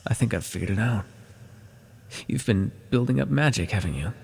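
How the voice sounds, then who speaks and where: A man playing an ancient, brooding immortal villain in an intimate fantasy audio roleplay, with a halting, dramatic, gravelly delivery. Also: lowish voice, expressive, a little mellow, exaggerated voice. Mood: rueful admiration. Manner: slow, halting, dramatic delivery with sighs and pauses. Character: ancient immortal villain, brooding, tormented, tender toward beloved mortal.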